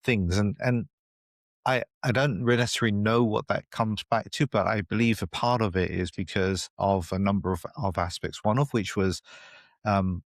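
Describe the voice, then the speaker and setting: A man's voice: fairly low pitch, normal speed, dry recording, clean studio sound. A man with a British accent, a podcast guest explaining ideas in a reflective, conversational way, often adding "you know" and "right?". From United Kingdom. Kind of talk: conversational interview.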